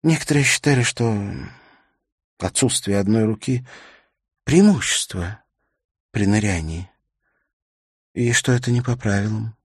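A man's voice: fairly low pitch, measured pace, pauses often, slightly rough, no echo, clean studio sound, pitched above the performer's own voice. A man voicing a grieving, ailing older woman in an audiobook, bitter, tearful and pleading. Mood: wistful grief.

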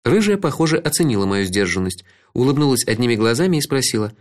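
A man tells a first-person story in a measured, reflective way. His pitch sits in a middle register but moves expressively across a wide range. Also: animated. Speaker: audiobook narrator. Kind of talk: first-person literary narration.

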